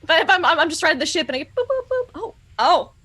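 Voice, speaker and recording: Woman, high voice, tabletop roleplaying player, casual chat, reading notes aloud, animated and jokey. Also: racing. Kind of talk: casual post-game chat with read-out notes.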